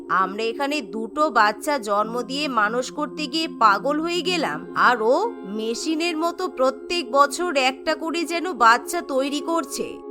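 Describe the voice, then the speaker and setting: A woman's voice: a little high, voice pushed down, natural voice. A woman voicing a gossiping, disapproving neighbour in a cartoon, mocking and exasperated. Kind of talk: melodramatic cartoon voice acting.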